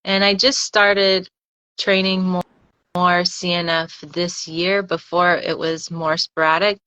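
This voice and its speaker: A woman, a freediver guest on a casual podcast interview, explaining things thoughtfully and haltingly, often repeating words. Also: on the low side, little pitch movement, bright, forceful tone, smooth, no room sound, rough recording.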